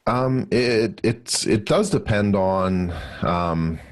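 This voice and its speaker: A Canadian man giving casual interview answers as a guest, talking haltingly and with good humour. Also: on the low side, dry recording. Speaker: podcast guest, business mentor and speaker.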